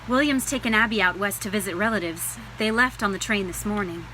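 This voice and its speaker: A woman's voice: mid-range voice, brisk, pushed and bright, a little hiss or hum, natural voice, poor audio. A woman playing a weary, earnest, trusting pregnant shop owner in a read-through of a stage musical. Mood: troubled, confiding. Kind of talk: musical table read acting.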